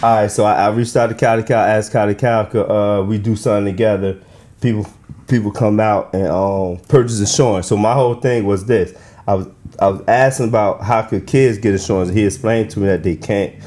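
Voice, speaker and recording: A man with a fairly low voice talks in a plain, unhurried podcast manner. He stops and restarts with "um" as he explains his event. It sounds like an easy chat among friends. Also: steady tone, darkish tone. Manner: plain-spoken, halting with "um" and restarts. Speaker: event organizer guest.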